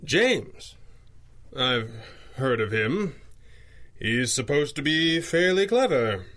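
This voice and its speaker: A man's voice: mid-range voice, big pitch swings, takes it slowly, a bit bright. A man acting a wry, analytical masked crime fighter with precise, formal speech in a 1930s-style radio drama. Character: urbane, analytical masked crime-fighting hero, wryly confident.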